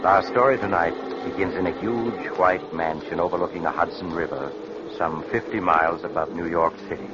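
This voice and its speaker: An actor playing a sinister yet genial mystery host, narrating deliberately and ominously in 1940s radio style. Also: male voice, low voice, steady tone, lightly acted. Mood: scene-setting. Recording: dull old radio recording, loud noise.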